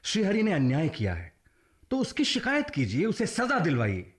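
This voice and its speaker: An actor in a radio play playing an earnest idealist with a persuasive, declamatory delivery. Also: male voice, on the high side, huge pitch swings, moderate pace. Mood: urging. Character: earnest idealist urging a friend to resist injustice. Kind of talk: Hindi radio play acting.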